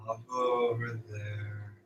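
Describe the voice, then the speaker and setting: A man playing a slow, compliant farm worker in an audio drama. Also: on the low side, flat delivery. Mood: dull, obedient. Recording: dull, echoey recording with music.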